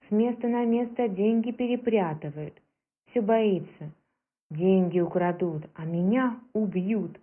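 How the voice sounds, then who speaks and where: A woman telling a scripted folk tale as a storyteller. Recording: muffled, low-fidelity phone-like sound.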